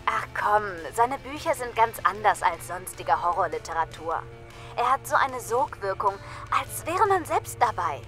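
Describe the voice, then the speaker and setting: An actress playing an excitable young female horror fan in an audio drama, with a teasing, enthusiastic manner. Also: mid-range voice, unhurried, soft background music. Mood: excited. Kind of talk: radio play dialogue.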